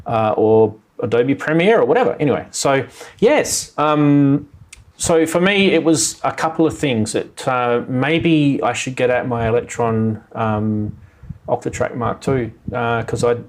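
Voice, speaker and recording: A man's voice: mid-range voice, a little slow. A man hosting a live music tech show, rambling enthusiastically and doubling up words for emphasis. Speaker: podcast host. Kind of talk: casual live show chat.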